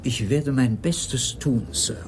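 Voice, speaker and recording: An actor playing a prim, dutiful sanatorium employee who speaks formally and fussily in a radio crime play. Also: male voice, medium pitch, slow pace, heavy background noise. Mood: dutiful, deferential. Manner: formal, fussy, easily affronted.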